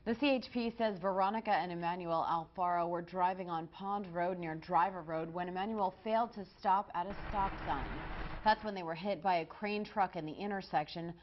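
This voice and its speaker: A woman's voice: on the low side, brisk, slight background noise. American woman news anchor, slightly dull audio. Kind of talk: scripted television news reading.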